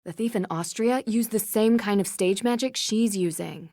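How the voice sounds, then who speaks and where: A woman's voice: medium pitch, moderate pace, darkish tone, dry recording, close to an everyday voice. A woman playing an inquisitive, observant young detective in a video game. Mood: musing, curious. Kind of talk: game voice acting, musing observations.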